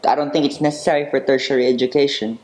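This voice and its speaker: Homme hésitant qui cherche ses mots. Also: male voice, mid-range voice, fairly even intonation, darkish tone. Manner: halting, many restarts and fillers. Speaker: podcast panelist. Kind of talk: casual panel discussion.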